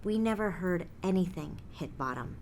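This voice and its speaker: A woman's voice: lowish voice, lively intonation, normal speed, darkish tone, slight background noise. An American woman playing an odd, blunt apartment tenant in an audio drama, with a slangy, offhand, sweary way of talking. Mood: eager. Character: odd, blunt female apartment tenant, obsessed with a mysterious hole. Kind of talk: naturalistic audio drama dialogue.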